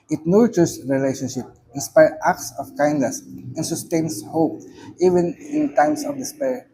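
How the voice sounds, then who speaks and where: A middle-aged man from the Philippines with a Filipino accent, a reverend reading a spiritual reflection aloud, slowly and with emphasis on key words. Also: on the high side, mellow, a little hiss or hum, poor audio. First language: not English. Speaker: reverend giving commentary.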